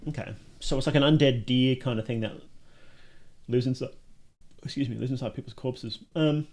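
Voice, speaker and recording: A man with a mid-pitched voice gives casual livestream commentary as host. He thinks aloud and addresses his viewers informally, swearing mildly now and then. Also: moderate pace, darkish tone. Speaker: livestream host reacting to and judging short films.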